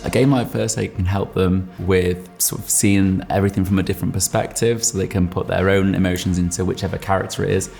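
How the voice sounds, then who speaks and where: Very low, creaky, even-toned man. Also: fairly quick, few pauses, soft background music. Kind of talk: interview, measured reflection.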